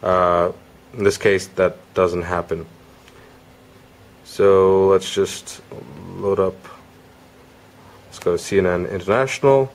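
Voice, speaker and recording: A man giving a casual, unscripted product review, halting and rephrasing as he goes. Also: low voice, fairly even intonation.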